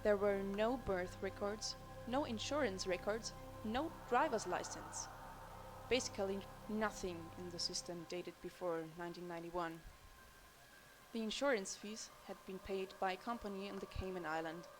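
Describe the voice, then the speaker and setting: Lady doing a cold ambitious young insurance fraud boss, flat cynical delivery, music behind. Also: medium pitch, normal speed, lightly acted. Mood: cool. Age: young adult. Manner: cool, cynical, matter-of-fact. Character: cool, ambitious female insurance fraud chief. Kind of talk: scripted game intro narration.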